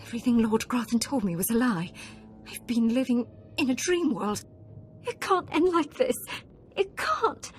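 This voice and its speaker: A woman's voice: a little high, lively intonation, moderate pace, soft background music, lightly acted. A woman playing a haughty young Sith noblewoman. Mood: panicked. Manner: haughty, mocking, then panicked. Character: spoiled young female Sith noble, arrogant.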